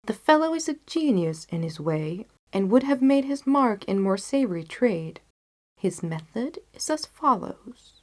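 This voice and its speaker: A woman's voice: mid-range voice, a little mellow. A woman playing an intense, disgusted male detective in an audiobook, speaking in an emphatic, declamatory way. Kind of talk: audiobook character dialogue.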